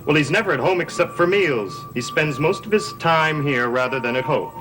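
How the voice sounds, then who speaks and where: Actor as an insolent young gothic soap schemer. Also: male voice, on the high side, expressive, normal speed, over music, natural voice, rough recording. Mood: smug, needling. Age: young adult. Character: insolent, scheming young heir, smugly needling. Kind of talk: gothic television soap acting.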